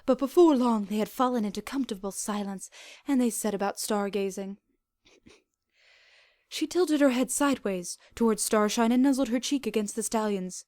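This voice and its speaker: A woman reading fan fiction aloud as an expressive scripted narration. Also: fairly high pitch, moderate pace, no room sound.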